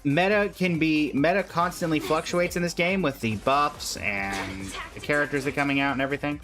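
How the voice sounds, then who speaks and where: A man's voice: on the high side, fairly quick. A man chatting casually as he livestreams a game, easygoing as he answers and advises viewers. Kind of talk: casual livestream chat and commentary. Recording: game music behind the voice. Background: game music.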